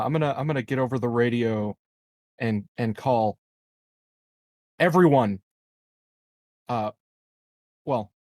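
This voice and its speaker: A man playing a tabletop game, talking casually and haltingly with plenty of swearing. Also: medium pitch, darkish tone, no echo, studio quality. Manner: profane, halting.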